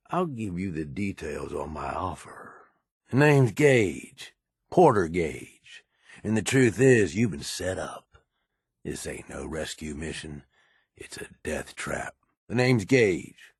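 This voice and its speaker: Gruff, low-voiced American game raider. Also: male voice, dry recording, clean studio sound, exaggerated voice. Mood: guarded, scheming. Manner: blunt, folksy, profane, world-weary. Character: gruff, cynical middle-aged raider, plain-spoken and loyal to his boss. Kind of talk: video game companion dialogue.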